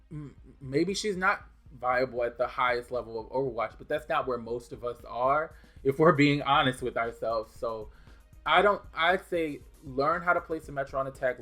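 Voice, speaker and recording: A man's voice: mid-range voice, gravelly, a bit bright. A young man from New Orleans with a mild New Orleans accent, chatting casually and bluntly while answering viewer questions, with restarts and fillers. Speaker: gaming video creator. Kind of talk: casual Q&A chat.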